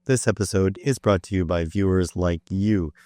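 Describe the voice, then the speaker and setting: A middle-aged man hosting a solo electronics podcast, talking in a rambling, explanatory way with frequent fillers, his voice slightly hoarse. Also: sits low, normal speed, warm tone, no echo, studio quality. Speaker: podcast host. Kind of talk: solo educational podcast talk.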